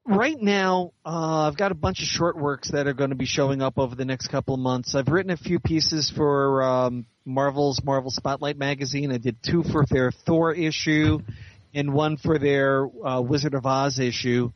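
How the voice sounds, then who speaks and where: A man's voice: medium pitch, steady tone, moderate pace, no room sound, muffled recording. A man being interviewed as a guest author, chatting casually and explaining things at length.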